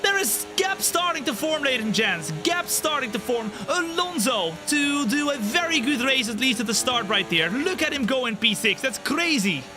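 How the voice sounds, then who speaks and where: Twenties guy streaming a racing game, high voice, gets hyped on crashes, game music and engines behind. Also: normal speed, hardly any pauses, poor audio. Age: 20s. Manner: excitable, jumps high when the race action happens, laid-back when chatting. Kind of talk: live game commentary and chat reading. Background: race car engines and game music.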